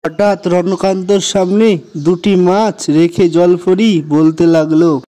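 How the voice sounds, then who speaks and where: A man's voice: high-pitched, fairly even intonation, slow, dark tone. A man narrating a scripted children's story as the narrator of a cartoon.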